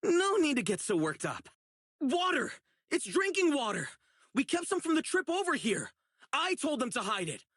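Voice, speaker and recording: A man's voice: very high voice, huge pitch swings, moderate pace, a bit bright, no room sound, clean studio sound, heavily acted. An actor playing an earnest, righteous young male public security officer in a video game, speaking emphatically. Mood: urgent, resolute. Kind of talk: video game character voice acting.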